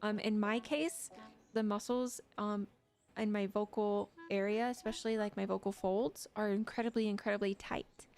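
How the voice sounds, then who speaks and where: A woman's voice: medium pitch, fairly even intonation, normal speed, a little mellow, clear, resonant tone. A young woman streamer chatting casually with her viewers, giggly and talkative. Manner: giggly, chatty, talks to chat. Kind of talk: casual livestream chat.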